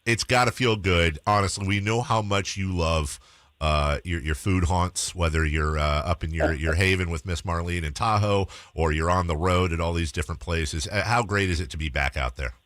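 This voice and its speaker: A man with a fairly low voice hosts a sports radio show. His delivery is casual and chatty, and the sound is very dry. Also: moderate pace, a bit bright, no room sound. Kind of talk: casual radio banter and guest introduction.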